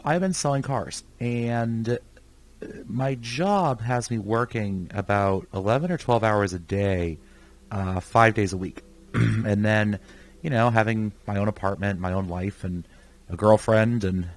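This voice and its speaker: Low-voiced young man, under the weather. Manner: rambling, chatty, talks to himself while playing. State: sick, voice somewhat off. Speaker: gaming channel host. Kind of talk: casual live gameplay commentary.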